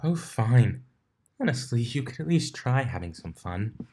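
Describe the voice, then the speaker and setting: A man's voice: mid-range voice, big pitch swings, unhurried, dark tone, slightly rough, full character voice. A man voicing a genteel, teasing female unicorn who loves gossip and drama, in a playful, affected way for a fan fiction reading.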